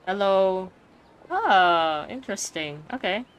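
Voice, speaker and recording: A woman streamer giving casual, slangy, expressive reactions to a game, exclaiming often, with game music behind her. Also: medium pitch, slow, poor audio.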